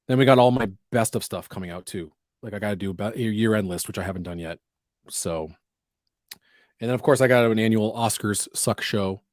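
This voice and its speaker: A man hosting a casual livestream chat, talking in rambling runs with quick asides, his voice a bit scratchy from allergies. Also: fairly low pitch, a little mellow, dry recording, studio quality. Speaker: podcast host.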